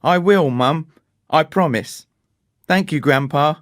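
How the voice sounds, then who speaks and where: A man voicing an excitable, stubborn twelve-year-old storybook boy with emphatic, insistent delivery. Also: on the high side, slow, dry recording, clean studio sound, voice pushed up. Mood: earnest.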